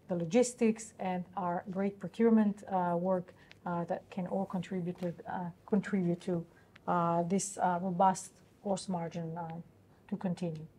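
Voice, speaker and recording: A woman answering investor questions on an earnings call in a halting, businesslike, explanatory way. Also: on the low side, mellow, breathy edge.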